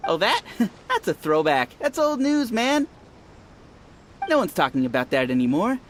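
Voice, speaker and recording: Casting: cocky young townsman, high voice, casual and scoffing, anime game, faint background noise. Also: big pitch swings, measured pace, fairly bright tone, heavily acted. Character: breezy, dismissive young townsman, trend-chasing and cocky. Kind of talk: English anime game voice acting.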